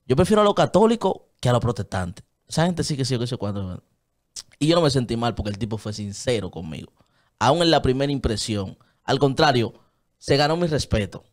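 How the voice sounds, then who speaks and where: A man's voice: mid-range voice, animated, brisk. Dominican guy in his 30s, earnest, gestures a lot, studio desk mic, small padded booth. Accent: Dominican Spanish. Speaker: guest. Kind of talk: casual studio chat. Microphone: studio mic on a desk stand, headphones on.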